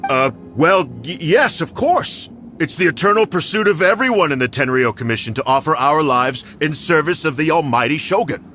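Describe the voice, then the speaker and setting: A man's voice: on the high side, lively intonation, moderate pace, sharp tone, faint music behind. A man voicing a scheming, self-serving older clan lord in a video game, blustering and obsequious. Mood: flustered, fawning. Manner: blustering, indignant, fawning to superiors. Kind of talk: dramatic video game voice acting.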